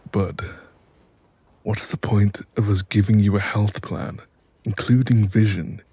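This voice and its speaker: Male actor as mafia boss, lowish pitch, husky, wry, chatty, apologetic yet exasperated, intimate audio roleplay. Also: darkish tone. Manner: wry, sweary, chatty, calls listener doll. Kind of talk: audio roleplay acting, intimate one-sided dialogue.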